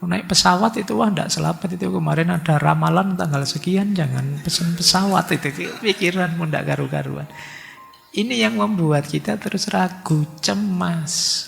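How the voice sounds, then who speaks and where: A man's voice: high voice, normal speed, vocal fry, fairly bright tone, in a large hall, faint music behind. A man giving a chatty religious lecture, slipping in words and acting out people's worried thoughts.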